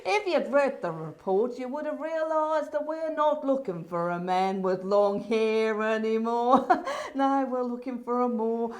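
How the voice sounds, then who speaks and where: An actress in a comedy play, voicing a brisk, bossy director from heaven with an emphatic, theatrical manner. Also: female voice, a little high, expressive, a little mellow. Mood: exasperated, firm. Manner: emphatic, theatrical, repeats words for effect. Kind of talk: comedy stage play acting.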